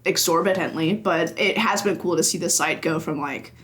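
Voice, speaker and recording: A woman's voice: fairly low pitch, normal speed, a bit bright. A woman in her twenties, a games journalist, talking casually on a podcast with lots of "like" fillers and laughter. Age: 20s. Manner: chatty, frequent "like" fillers, laughs often.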